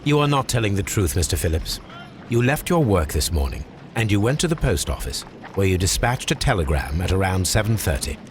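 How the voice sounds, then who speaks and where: A man playing a shrewd, commanding English gentleman detective in a Victorian video game, speaking with precise, formal phrasing. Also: low voice, big pitch swings, brisk, a little hiss or hum. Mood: stern, probing. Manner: precise, formal, clipped questioning. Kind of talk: video game cutscene acting.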